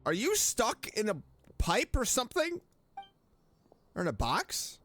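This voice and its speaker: A woman's voice: on the high side, wildly swooping pitch, measured pace, fairly bright tone, soft background music. A woman streaming a game with casual commentary, reacting with joking scepticism. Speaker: streamer playing a story game.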